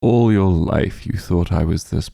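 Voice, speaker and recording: A man playing a possessive, doting immortal demon lord in an intimate ASMR roleplay, teasing and smug, speaking softly in a gravelly, breathy voice. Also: low voice, no room sound, studio quality, full character voice. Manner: slow, soft, teasing coaxing.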